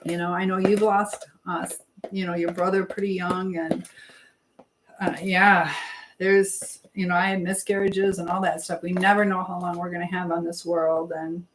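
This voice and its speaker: A woman's voice: fairly low pitch, level tone, moderate pace, noisy background. A middle-aged woman hosting a casual live stream, rambling between viewer comments and the baking she is doing as she talks. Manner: rambling, hopping between chat and task; chatting while baking.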